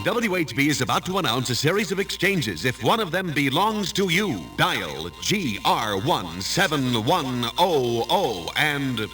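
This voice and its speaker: A man's voice: on the high side, very animated, measured pace, few pauses, pushed and bright, far from the mic, poor audio. An American man announcing 1960s top 40 radio promos in a punchy, salesmanlike style. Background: music.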